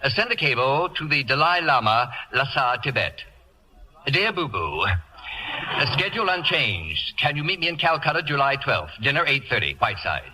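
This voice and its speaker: An actor playing a pompous, acid-tongued celebrity houseguest with grandiose, biting delivery in a radio comedy. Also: male voice, mid-range voice, very animated, moderate pace, pushed and bright. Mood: imperious. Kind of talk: 1940s radio comedy acting. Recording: noisy vintage radio recording with clicks.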